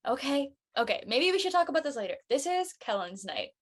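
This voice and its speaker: A woman's voice: sits high, sing-song, brisk. A woman playing an earnest, explanatory teenage girl who talks in casual slang in a drama read. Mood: exasperated. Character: earnest churchgoing teenage girl, preachy friend.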